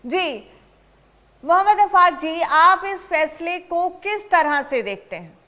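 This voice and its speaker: A woman anchors a live television news debate in a high, bright voice. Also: expressive, slow pace.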